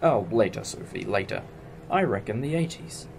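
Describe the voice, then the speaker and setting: A man's voice: lowish voice, expressive, moderate pace, vocal fry, noisy background. A British man playing an eccentric, enthusiastic alien time traveller in a fan audio drama, musing like a professor. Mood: musing, upbeat. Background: music.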